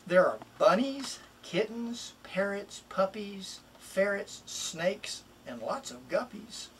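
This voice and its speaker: Bright-voiced middle-aged man reading a storybook. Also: high-pitched, animated, slow. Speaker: children's author reading his book. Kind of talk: casual introduction and expressive picture book read-aloud. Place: small wood-panelled home office, indoors. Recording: distant mic, room noise.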